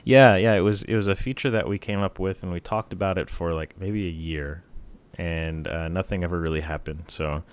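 A man with a very low voice talks through software plans in a loose, relaxed podcast chat. He fills his sentences with "uh" and often restarts them. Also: fairly quick, hardly any pauses. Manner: relaxed, many uh fillers and restarts. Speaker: podcast co-host, software team member. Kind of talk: casual tech podcast chat.

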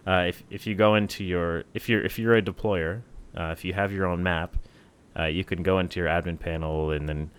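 A man co-hosting a casual tech podcast, talking in a relaxed way with many fillers and restarts. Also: deep voice, brisk, few pauses, clear, resonant tone. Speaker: podcast co-host, software team member.

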